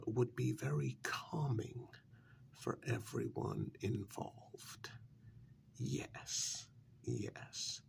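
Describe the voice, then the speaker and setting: A man playing a deferential, anxious servant in a soft ASMR role-play, half whispering in a breathy voice with hesitant, apologetic politeness. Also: mid-range voice, a little hiss or hum, full character voice. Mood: polite, hesitant. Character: deferential, anxious male servant planning a monsters' ball.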